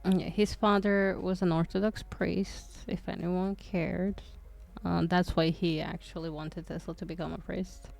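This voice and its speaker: A woman's voice: fairly low pitch, flat delivery, a little slow, vocal fry, a little mellow, faint music behind. A woman from Croatia, chatting casually on a livestream, hesitant and rambling with lots of fillers and restarts. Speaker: streamer.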